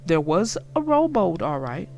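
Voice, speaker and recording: A woman narrating a children's storybook in an expressive, deliberate read-aloud style. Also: lowish voice, creaky, slight background noise. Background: music.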